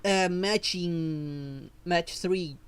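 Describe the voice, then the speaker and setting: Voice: mid-range voice, extremely slow, smooth. A streamer giving casual live gameplay commentary, rambling and thinking aloud.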